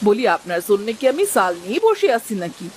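A woman playing a curt, grudging neighbour in a Bengali cartoon moral story, scolding and sharp-tongued. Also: mid-range voice, very animated, fairly quick, a little hiss or hum, close to an everyday voice. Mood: scornful.

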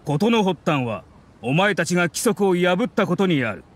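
An actor playing a haughty, scornful academy scholar in an anime game, speaking in a formal, condescending, accusatory way. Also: male voice, high-pitched, very animated, moderate pace. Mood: stern, accusing.

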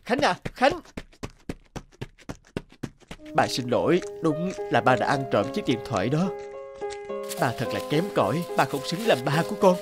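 A man's voice: on the high side, big pitch swings, moderate pace. An actor playing a shifty, guilty young father and petty thief in a cartoon, with music behind. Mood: remorseful. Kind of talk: cartoon voice acting.

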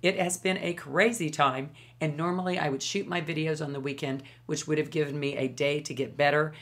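A woman in her fifties, hoarse from a cold, chatting enthusiastically to camera while reviewing beauty products at home. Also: deep voice, steady tone, a little fast. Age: 50s. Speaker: beauty vlogger. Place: home studio, indoors.

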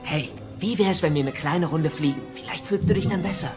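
An earnest teenage Viking played by a young man, a responsible young leader in an animated audio drama. Music plays clearly behind his lines. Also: a little high, a little fast, lightly acted. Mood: worried.

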